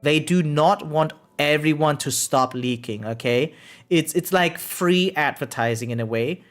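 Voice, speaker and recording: A streamer with a medium-pitched voice giving casual reaction commentary, reading aloud and chatting in an animated, exclamatory way, often checking in with "right?". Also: a little slow. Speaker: variety streamer. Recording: music behind the voice. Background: music.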